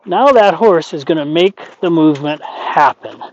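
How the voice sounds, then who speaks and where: Plain-spoken man trainer, rambling folksy delivery, slightly raised pitch, dull lo-fi outdoor recording. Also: expressive, measured pace, slight background noise. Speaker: horse trainer. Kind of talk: explaining horse training while working. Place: outdoors at a horse pen.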